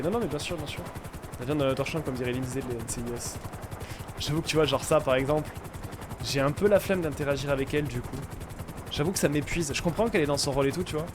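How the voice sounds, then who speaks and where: A man in a roleplay game chats and jokes at a rapid clip, his voice sitting a little high. He swears casually as he talks. Gunfire and engine sounds run clearly behind him. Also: background noise. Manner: chatty, joking, swears casually. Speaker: streamer playing a doctor in roleplay. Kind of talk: in-character roleplay banter, then casual talk to chat.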